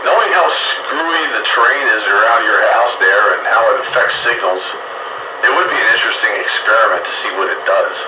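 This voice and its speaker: A man's voice: lowish voice, a little fast, roomy sound, muffled recording. Man on a very noisy, distant radio signal. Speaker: amateur radio hobbyist. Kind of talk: casual chat over two-way radio.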